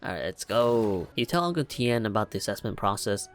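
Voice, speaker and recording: Animated young man doing casual game commentary. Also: fairly low pitch, a little fast, soft background music. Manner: joking, slangy, informal. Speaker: gaming reaction YouTuber. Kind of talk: casual let's play commentary.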